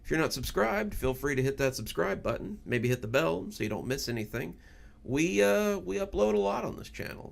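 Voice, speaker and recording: A man with a gravelly voice, hosting and narrating scripted horror stories. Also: medium pitch. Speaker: horror story narrator and channel host.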